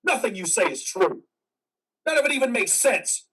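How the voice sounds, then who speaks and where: A troubled, defiant veteran acted by a man speaks earnestly in a stage drama. The voice is raspy and on the high side, moving widely in pitch, a little away from the microphone. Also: sing-song, moderate pace, a bit bright, far from the mic, full character voice, low-quality recording. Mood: defiant, angry. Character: troubled, defiant male war veteran in psychiatric care. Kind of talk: play reading acting.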